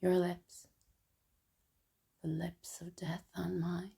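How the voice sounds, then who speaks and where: A woman acting a melancholy, wryly funny and tipsy character in an intimate, halting theatrical monologue. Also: fairly low pitch, little pitch movement, gravelly, warm tone, breathy edge, exaggerated voice. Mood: wistful, tender. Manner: halting, intimate, dramatic pauses. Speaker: stage actor performing a solo monologue. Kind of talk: confessional theatrical monologue, spoken as a letter.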